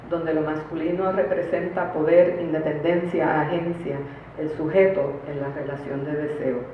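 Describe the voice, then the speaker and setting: A woman reads a formal academic paper at a conference in a measured, deliberate way. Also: lowish voice. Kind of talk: formal academic lecture read from a prepared paper. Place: conference room, recorded from a distance. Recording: distant, very echoey room, phone-like, faint noise.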